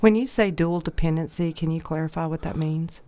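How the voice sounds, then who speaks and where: A woman's voice: low voice, fairly quick, warm tone, dry recording. A woman hosting a podcast interview, slightly stuffy, speaking in an empathetic, probing way. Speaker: podcast host.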